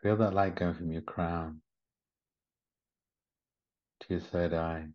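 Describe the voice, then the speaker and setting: Very deep-voiced man guiding a meditation. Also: fairly even intonation. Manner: gentle, soothing, unhurried phrasing with short instructions. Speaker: guided meditation leader. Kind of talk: guided meditation. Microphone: video call. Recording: slightly muffled video call audio.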